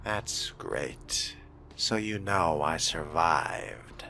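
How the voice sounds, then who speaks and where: Very deep, slow, theatrical man role-playing. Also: expressive, vocal fry, a little hiss or hum. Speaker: player voicing his vampire character. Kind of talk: slow theatrical in-character role-play of a video game. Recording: loud music behind the voice.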